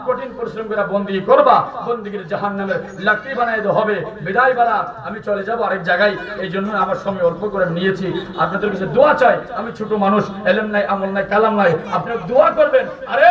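Bangladeshi bengali guy preaching waz, really high voice, super fired up and declaiming, echoey pa from way off. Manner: impassioned, declamatory, prompting listeners with call-and-response questions. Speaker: Islamic preacher. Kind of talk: fiery waz sermon to a gathering. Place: religious gathering at a madrasa. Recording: echoey PA, distant dull mic, crowd.

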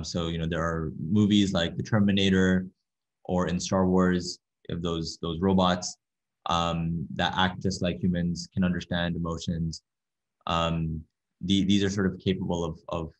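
A young man from Canada giving an online workshop lecture in a soft-spoken, hesitant way, with many fillers and repeated words, over a laptop webcam. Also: sits low. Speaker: workshop presenter. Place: small room with a window, indoors. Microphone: laptop webcam on a video call. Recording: dull lo-fi video call audio.

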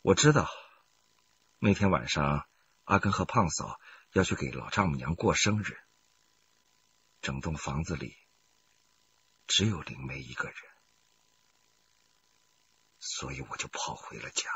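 An actor playing a desperate, scheming husband in a radio crime drama, emotional in delivery. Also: male voice, very deep voice, lively intonation, unhurried, long pauses, fairly bright tone, lo-fi sound, slight background noise, full character voice. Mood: brooding confession. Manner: emotional, pleading, swinging to outbursts. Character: desperate, scheming adult husband confessing to murder.

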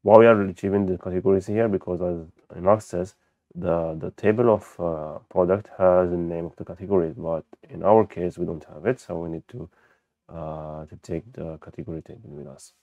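A man who speaks as a gives an unscripted software walkthrough, haltingly thinking aloud as he demonstrates. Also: low-pitched, fairly even intonation, no room sound. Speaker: software tutorial presenter. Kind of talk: unscripted screen-recorded tutorial walkthrough. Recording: dull, lo-fi close microphone.

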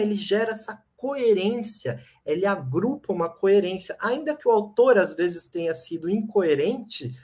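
A man in his thirties with a Brazilian Portuguese accent, giving a lively, ironic online lecture on philosophy from a home room with bookshelves, speaking to a desk webcam. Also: high voice, slightly rough. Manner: lively, ironic, explains and jokes with the audience. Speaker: philosophy lecturer and channel host.

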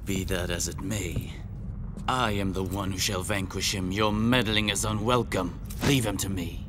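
An actor playing a stern, proud vampire hunter who speaks in commanding, formal, archaic phrases for a video game. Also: male voice, deep voice, fairly even intonation, unhurried, background noise. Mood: cold, stern. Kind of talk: dramatic video game acting. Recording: music behind the voice. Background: music.

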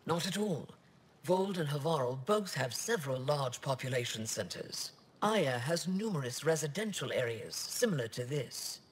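An actor playing an earnest, dignified alien official in a video game, speaking in a measured, formal way. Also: male voice, fairly high pitch, animated, slight background noise, natural voice. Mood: solemn, devoted.

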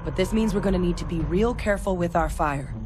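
A woman's voice: fairly low pitch, fast talker, over music, close to an everyday voice. Woman playing a serious, matter-of-fact soldier. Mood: explaining. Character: tough, earnest soldier, expert on alien creatures. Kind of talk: video game character dialogue.

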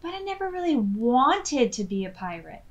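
A woman voicing an eager, stubborn young female storybook character in an expressive, pleading way. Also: on the high side, normal speed, muffled recording. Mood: sulky, insistent.

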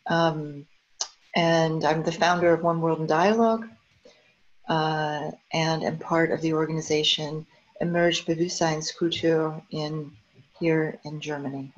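A woman's voice: low-pitched, level tone, a little slow, muffled recording. An American woman introducing herself earnestly on a video call, searching for words as she goes. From United States. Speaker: founder of a dialogue organization.

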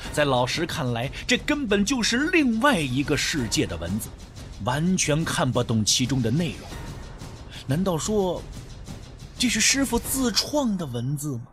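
A man narrating a ghost story audiobook in a dramatic, deliberate, suspenseful manner. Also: a little high.

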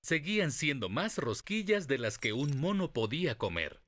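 A man with a Latin American Spanish accent, narrating a children's story in an expressive, scripted storytelling style. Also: fairly high pitch, moderate pace. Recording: music under the voice.

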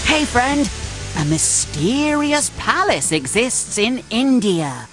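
Curious, cheerful young male steam engine for a kids' cartoon game: expressive, unhurried, music underneath. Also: on the high side, exaggerated voice. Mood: playful, inviting. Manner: earnest, expressive storytelling to children. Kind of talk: children's cartoon game voice acting.

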